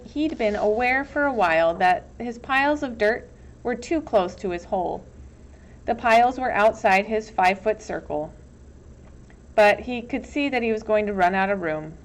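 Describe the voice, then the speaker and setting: A woman reading a children's novel aloud as storybook narration. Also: mid-range voice. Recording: dull, lo-fi with some background noise.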